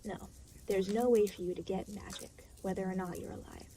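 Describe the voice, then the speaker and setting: A woman's voice: medium pitch, fairly even intonation, fairly quick, warm tone, slight background noise, natural voice. A woman playing an aloof, reluctant, weary demon guide in an intimate audio roleplay, speaking in a dry, matter-of-fact, hesitant way. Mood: dry explaining. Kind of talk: intimate scripted audio roleplay spoken to the listener.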